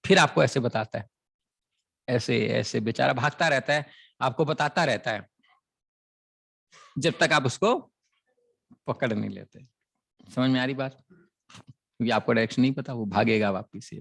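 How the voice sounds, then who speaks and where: Husky gravelly man teaching maths. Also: medium pitch, moderate pace, pauses often, a bit bright. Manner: explains a word problem as a story, checking often that it is understood. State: sore throat. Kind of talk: classroom lecture.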